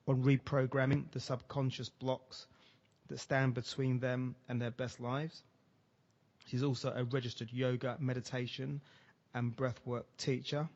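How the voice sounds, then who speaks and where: A man's voice: medium pitch, darkish tone, dull audio. A man hosting a podcast interview, talking in a friendly, eager way with frequent restarts. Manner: friendly, stumbling restarts, enthusiastic. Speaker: podcast host.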